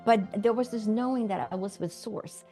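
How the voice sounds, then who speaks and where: A woman's voice: medium pitch, moderate pace, dark tone, faint music behind. A middle-aged woman from Sweden, a physician and medium, telling her story earnestly in an interview with light laughs.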